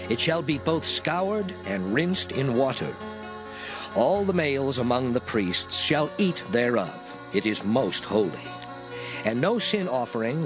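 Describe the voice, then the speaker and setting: Solemn, expressive man reading scripture over music. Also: medium pitch, low-quality recording. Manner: solemn, declarative, measured phrasing. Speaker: scripture reader. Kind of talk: dramatized King James Bible reading, scripted.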